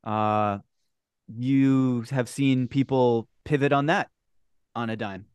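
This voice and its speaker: A man hosting a casual conversation, speaking in an emphatic, drawn-out way. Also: mid-range voice, steady tone, darkish tone, clear, resonant tone, no room sound, highs cut off.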